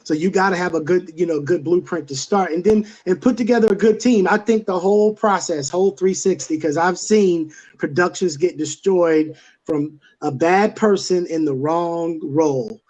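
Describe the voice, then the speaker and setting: A man's voice: high-pitched, moderate pace, a little mellow. A man speaking casually as a guest on a panel, heard through dull, lo-fi audio. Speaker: stage play writer-director, panel guest.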